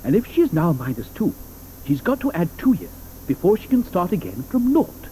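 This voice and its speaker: An actor playing an eccentric, fussy male chocolate maker in an audio drama, talking theatrically with many hesitant pauses. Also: sits high, huge pitch swings, mellow, a little hiss or hum, rough recording. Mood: hurried, eager. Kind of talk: 1980s audio drama acting. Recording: studio audio drama with music behind.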